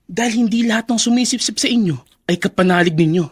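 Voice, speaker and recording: A young adult politician's son in a Filipino radio drama. His voice is high for a man, cool and self-assured, and he slips English phrases into his cautioning advice. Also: quick pace, husky. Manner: mixes English phrases into his speech, advising.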